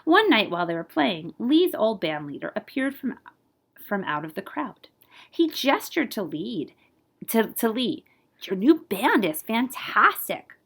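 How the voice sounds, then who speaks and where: Very animated woman reading a storybook aloud. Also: medium pitch, measured pace, vocal fry. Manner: animated, playful with scat-like sound words. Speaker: librarian reading a picture book aloud. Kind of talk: expressive children's read-aloud with asides to listeners.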